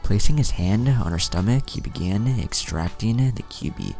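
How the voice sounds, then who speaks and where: Really low gravelly guy narrating fan fiction, voice swings around, music behind him. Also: expressive, moderate pace, dark tone.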